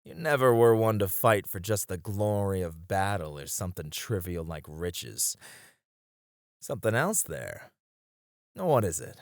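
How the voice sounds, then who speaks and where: A weary warrior with a soft heart , acted by a man for an intimate fantasy romance roleplay. He speaks slowly and wistfully, with a teasing edge and a very expressive, swinging melody. The sound is dry and intimate. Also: deep voice, no echo, exaggerated voice. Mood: gently teasing, warm. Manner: soft, wistful, with breathy chuckles and sighs. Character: weary young male warrior, tender, teasing sparring partner.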